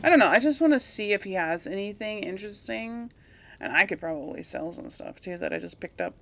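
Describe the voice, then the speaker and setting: A woman's voice: medium pitch, expressive, fairly quick, a bit bright. A woman giving casual, rambling game commentary, absent-minded and thinking aloud as she plays. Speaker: gamer hosting a role-play playthrough series.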